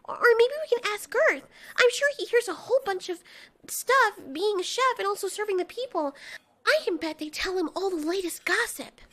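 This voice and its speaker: High, perky young monster girl. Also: animated, normal speed, a bit bright. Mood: eager. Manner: perky, earnest, chatty. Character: young eager cheerful female kaiju, chatty and sweet.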